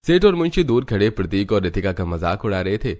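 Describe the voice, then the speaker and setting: A man's voice: on the low side, big pitch swings, fairly quick, darkish tone. A man narrating a scripted moral story as its storyteller.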